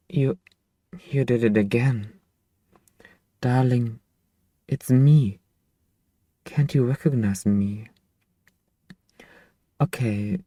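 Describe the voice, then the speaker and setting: Casting: male actor as possessive vampire master for ASMR roleplay; very dark, mellow tone, unhurried, hypnotic coaxing. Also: mid-range voice, no room sound, natural voice. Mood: worried, tender. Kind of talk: ASMR roleplay, slow intimate delivery.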